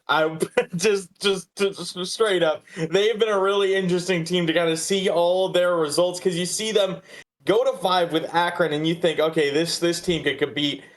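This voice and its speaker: Higher-voiced man talking sports casually. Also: fairly even intonation, normal speed. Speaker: sports podcast host. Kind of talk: casual sports commentary and analysis.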